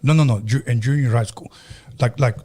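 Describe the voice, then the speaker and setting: A man's voice: medium pitch, a little fast, mellow. A man in his fifties with a Chicano Californian accent, a native Spanish speaker, hosting a casual interview in blunt, slangy talk with bits of Spanish. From California, United States. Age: 50s. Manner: blunt, informal, sprinkles in Spanish. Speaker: radio podcast host.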